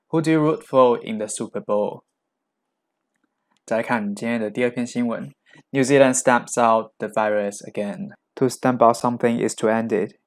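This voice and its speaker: A man teaching vocabulary, switching between Chinese explanations and English example sentences read aloud. His voice sits a bit low, with a gravelly, creaky edge.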